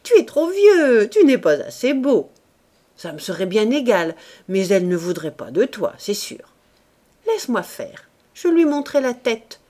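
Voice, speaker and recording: Woman reader voicing a shrill, loud, greedy woman; high and swooping. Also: big pitch swings, a little slow. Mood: gloating. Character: brash, greedy woman, tipsy and gloating.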